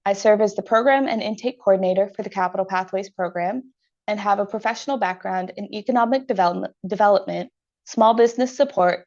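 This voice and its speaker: An American woman moderating a webinar, reading her introduction in a clear, measured, businesslike way. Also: medium pitch, a little mellow, highs cut off. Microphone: computer mic on a video call.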